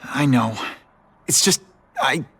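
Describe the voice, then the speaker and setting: A man's voice: mid-range voice, slow pace, fairly bright tone, slightly rough, dry recording, exaggerated voice. An actor playing an earnest, wry young man, a superhero in a video game cutscene. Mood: troubled. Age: young adult.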